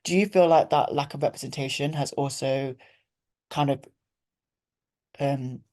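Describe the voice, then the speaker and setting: A woman's voice: a bass voice, measured pace, warm tone. A young woman interviewing, asking thoughtful, loosely phrased questions in a casual conversation.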